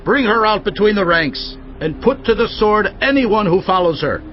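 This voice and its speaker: High-voiced commanding priest over noisy ambience. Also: male voice, expressive, moderate pace, highs cut off. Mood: stern, urgent. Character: commanding old priest shouting orders to troops. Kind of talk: dramatized scripture audio acting.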